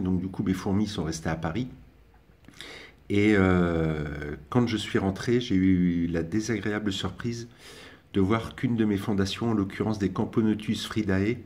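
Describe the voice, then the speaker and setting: A man's voice: fairly low pitch, a little mellow. A young man casually commenting while filming his ant colony, hesitating often with fillers and restarts. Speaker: ant-keeping hobbyist vlogger.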